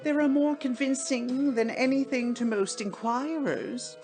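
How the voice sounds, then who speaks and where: A woman's voice: high voice, a little slow, a little mellow, faint music behind, voice pushed up. A woman voicing an effusive, wheedling female medium as a storybook character. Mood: coaxing. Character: gushing, coaxing female spiritualist medium.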